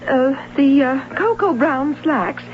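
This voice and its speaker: A woman's voice: high-pitched, animated, slow, faint music behind. An American actress playing an anxious, indignant mother of a missing daughter in an old-time radio drama. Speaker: actor. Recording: dull, noisy old radio recording with hum.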